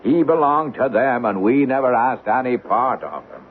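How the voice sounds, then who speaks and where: A man's voice: mid-range voice, animated, normal speed, natural voice. Folksy Irish barman played by a man, tinny radio. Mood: grave, ominous. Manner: folksy, rambling storytelling, lilting. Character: talkative old Irish village barman and postmaster, superstitious, folksy. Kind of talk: old-time radio drama acting. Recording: tinny, noisy old radio broadcast.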